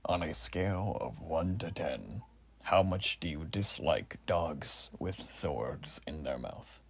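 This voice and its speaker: A man playing a zealous, courteous revolutionary war soldier in an ASMR roleplay exam, speaking softly and breathily with formal, old-fashioned phrasing. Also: extremely low pitch, animated, takes it slowly, exaggerated voice. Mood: polite, probing. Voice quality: soft, breathy, often near whisper. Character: zealous, folksy revolutionary war soldier examining a new recruit.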